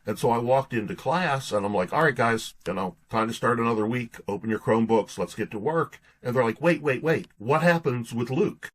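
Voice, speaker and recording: A man's voice: medium pitch, fairly quick, few pauses, low-quality recording. A middle-aged American man, an interview guest, tells personal stories earnestly and conversationally, acting out bits of remembered dialogue. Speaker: interview guest, author and pastor.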